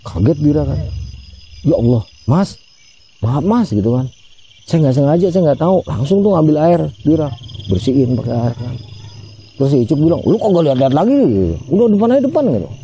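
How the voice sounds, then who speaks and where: Gravelly man telling a ghost story. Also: a little high, very animated, a little fast, warm tone, slight background noise. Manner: chatty, addresses listener by name, acts out quoted voices. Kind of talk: casual spoken ghost story.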